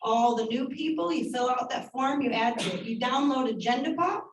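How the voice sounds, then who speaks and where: A woman with a fairly high voice walks the room through steps one by one. She is far from the mic in an echoey room with faint noise. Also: moderate pace. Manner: explaining step by step.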